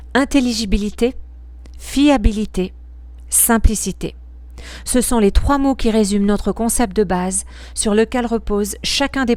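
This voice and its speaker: A woman reading a scripted promotional product narration in a measured, articulate and informative way. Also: medium pitch, a little mellow, dry recording.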